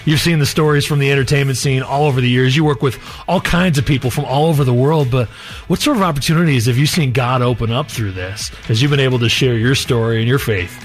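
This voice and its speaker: A man hosting a radio interview, with an upbeat and smooth delivery. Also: medium pitch, quick pace, faint music behind. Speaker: radio host.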